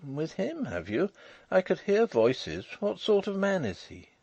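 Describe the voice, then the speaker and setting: A man's voice: high-pitched, huge pitch swings, a little slow, vocal fry, darkish tone, dry recording, highs cut off, pitched above the performer's own voice, heavily acted. A man voicing a tired, curious young newlywed wife who murmurs drowsily, in a storybook reading. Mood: sleepy curiosity.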